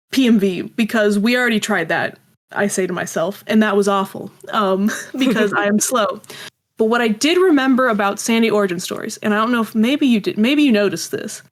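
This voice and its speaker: A woman being interviewed as a creator, telling stories casually and self-deprecatingly and acting out little quoted exchanges. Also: fairly high pitch. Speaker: guest, audio drama creator.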